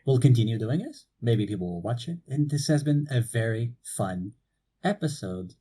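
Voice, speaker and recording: A young man from Portugal, a university student, co-hosting a casual podcast chat, relaxed and swearing freely on a cheap mic. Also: medium pitch, moderate pace, husky. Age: young adult. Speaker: podcast co-host. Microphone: cheap low-quality mic.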